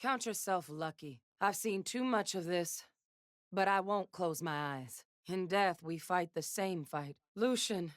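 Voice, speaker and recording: Game role: actress as haunted female sentinel gunslinger; lowish, close and dry, commanding, wry. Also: measured pace, no room sound, natural voice. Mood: solemn. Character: resolute, haunted woman sentinel gunslinger, back from death. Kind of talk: video game character voice lines.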